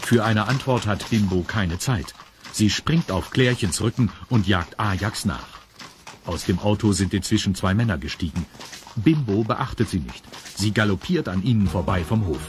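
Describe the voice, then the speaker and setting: A man's voice: lowish voice, lively intonation, moderate pace, a little hiss or hum. A man narrating a children's audio drama in a scripted storytelling style. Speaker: narrator of a children's radio play.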